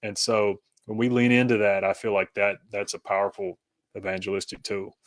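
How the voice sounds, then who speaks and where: A man's voice: lowish voice, steady tone, moderate pace, no room sound. A man speaking as an interview guest, explaining his thoughts conversationally in a hesitant, rambling way. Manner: hesitant, rambling, peppered with "you know" and "kinda". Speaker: podcast guest, author. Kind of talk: conversational interview, explaining personal faith journey.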